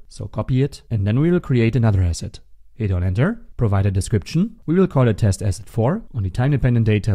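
A man narrating a step-by-step software tutorial as an instructor, in a methodical, instructional manner. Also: on the low side. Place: voice-over for a screen recording.

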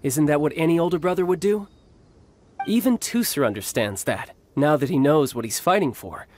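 A man's voice: a little high, animated, moderate pace, a little mellow, slight background noise, lightly acted. A man playing a confident, protective young warrior and older brother in a video game, with a polished, theatrical delivery. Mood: earnest, resolute. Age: young adult. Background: game music.

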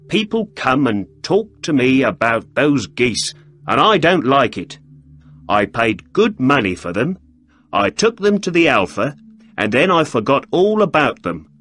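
A man voicing a grumpy, defensive male shopkeeper who snaps quick, irritable answers. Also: medium pitch, a bit bright, pitched above the performer's own voice, exaggerated voice.

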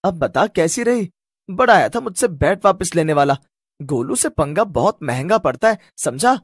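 A man's voice: on the high side, animated, normal speed, slightly rough, dry recording, clean studio sound. A man voicing a cocky, hot-tempered young village boy who talks in a brash, boastful way in a cartoon story. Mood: bossy, boastful.

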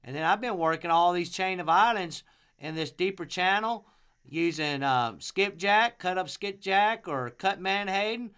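A man's voice: sits high, a little slow, fairly bright tone, clean tone. A man giving an informal fishing report as a guide, in a folksy, plain-spoken way. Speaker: fishing guide and charter captain. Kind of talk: informal fishing report narrated over a map.

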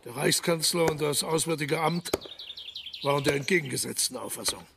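An actor playing a self-assured German emperor in a historical radio drama, commanding and dismissive. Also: male voice, a little high, fairly quick, creaky, noisy background. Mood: justifying.

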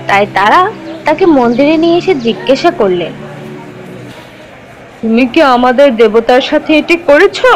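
A woman playing a young cartoon storyteller who teaches children religious tales in an expressive, instructive way. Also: high voice, poor audio.

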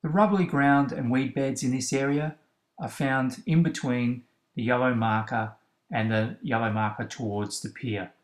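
A man, host of a fishing channel, narrating instructional tips in a steady, explanatory way. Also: mid-range voice, normal speed. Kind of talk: instructional voice-over about kayak fishing spots. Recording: roomy, echoey recording.

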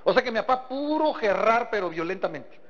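High-voiced northern Mexican man speaking in a hall. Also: expressive, brisk, fairly bright tone, a little hiss or hum. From Sinaloa, northern Mexico. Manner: folksy, storytelling, engaging listeners with rhetorical questions. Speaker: motivational business seminar speaker. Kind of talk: motivational talk to a live audience. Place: large seminar hall before an audience. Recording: dull, echoey hall recording.

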